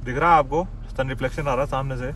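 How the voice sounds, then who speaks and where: A man in his thirties narrating a casual, excited travel vlog to his viewers while driving a car along a mountain road. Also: medium pitch, fast talker, slight background noise. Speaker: travel vlogger.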